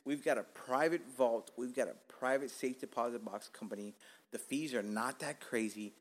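A man's voice: medium pitch, moderate pace. A man in his thirties, born in Cuba, hosting a casual podcast in a joking, profane, laid-back street-talk manner. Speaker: podcast host.

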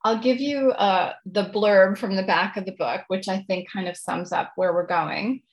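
A woman's voice: medium pitch, normal speed. A woman speaking as a guest author, polite and modest in manner. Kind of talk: casual interview chat, then reading own writing aloud.

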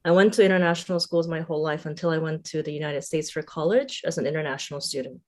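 A middle-aged woman educator speaks as a podcast guest. Her voice sits fairly low, and she explains herself in a thoughtful, measured, conversational way. She is from Singapore and Thailand. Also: steady tone. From Singapore and Thailand, born in South Korea. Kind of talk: conversational interview.

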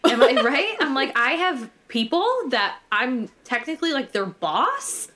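Young female podcast co-host in her twenties, lively voice that swings up and down, casual and profane. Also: high voice, measured pace. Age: 20s. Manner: chatty, swears casually, laughs often. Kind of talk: casual chat.

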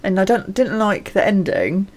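A woman's voice: mid-range voice, big pitch swings, moderate pace, a little mellow. A woman co-hosting a podcast, chatting casually in a candid, self-deprecating way. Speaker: podcast co-host. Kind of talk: casual chat.

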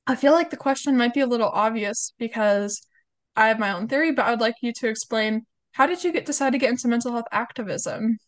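A woman's voice: fairly high pitch, fairly quick. A young woman hosting a podcast, chatting casually and upbeat, her voice a little off from a cold. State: has a cold. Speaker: podcast host. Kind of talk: casual interview chat.

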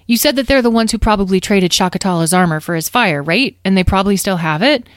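A woman voicing a polite, earnest young dragon in a storybook, halting and questioning. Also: mid-range voice, lively intonation, no echo, studio quality, lightly acted. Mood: tentative.

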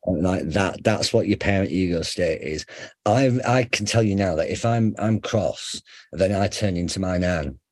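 A middle-aged man with a faint Sheffield accent, a psychotherapist explaining ideas in a relaxed interview, full of examples, fillers and restarts. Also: low voice, normal speed. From England. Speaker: psychotherapist guest.

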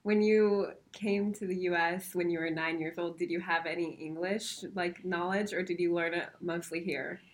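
A young woman interviewer asking questions in an encouraging way, quick to laugh. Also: lowish voice, steady tone.

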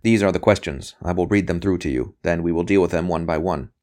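A man doing a forceful, relentless interrogator. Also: low-pitched, fairly quick, close to an everyday voice. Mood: cool, insistent. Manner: forceful, clipped, pressing questions. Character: relentless male interrogator, insistent, coolly impatient official.

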